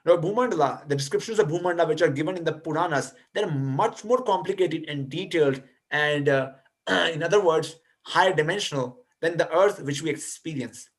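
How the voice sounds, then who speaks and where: A man with a slightly high voice speaks over a video call as a guest lecturer. He explains patiently to listeners, often restarting his sentences. He sounds like a young adult. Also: a little fast. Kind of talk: lecture and answering audience questions.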